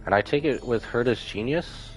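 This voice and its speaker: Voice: medium pitch, moderate pace, poor audio. A game streamer reacting live to a story, commenting casually and breaking into dramatic exclamations. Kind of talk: live gameplay reaction and commentary, reading some dialogue aloud. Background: video game music.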